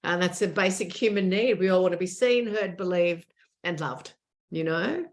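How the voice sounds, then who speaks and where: A woman talks in a lowish voice. She speaks earnestly and with emphasis, often doubling words to drive a point home. Her delivery is conversational, as a guest answering in an interview. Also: normal speed.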